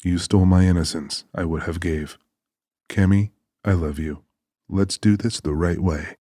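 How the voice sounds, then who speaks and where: A man's voice: low-pitched, steady tone, a little slow, mellow, no room sound. An actor playing a dry, wry, plainspoken country man in an audiobook drama. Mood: tender. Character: Joe, dry, easygoing country man, anxious but decent.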